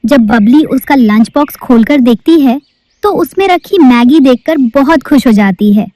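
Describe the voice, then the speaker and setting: A woman's voice: sits high, expressive, moderate pace, warm tone, no room sound. A woman narrating a cartoon story in a storybook-telling manner.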